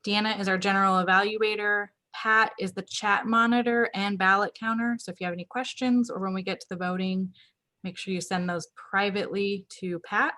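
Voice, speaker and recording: A woman's voice: mid-range voice, little pitch movement, brisk, bright, forceful tone. Woman running an online club meeting, upbeat, keeps things moving. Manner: upbeat, organized announcements. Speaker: toastmaster of the meeting. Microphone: video call.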